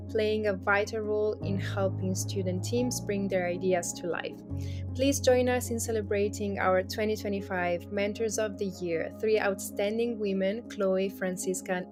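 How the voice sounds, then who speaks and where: A woman hosting an awards ceremony, introducing guests and asking loosely phrased, halting questions. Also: mid-range voice. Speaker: ceremony host. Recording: music playing underneath.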